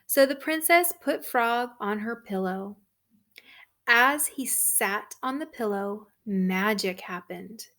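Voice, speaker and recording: Female storybook reader for young children, high voice, very measured pace, expressive delivery. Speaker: storybook reader for third graders. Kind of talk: read-aloud storytelling for children.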